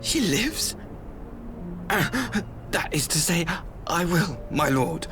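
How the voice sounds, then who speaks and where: A man's voice: a little high, very animated, takes it slowly, background noise. A man playing a devoted, deferential imperial retainer in a video game, earnest and formal, with a hesitant, reverent manner. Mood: startled, hesitant. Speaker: voice actor. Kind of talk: dramatic video game acting.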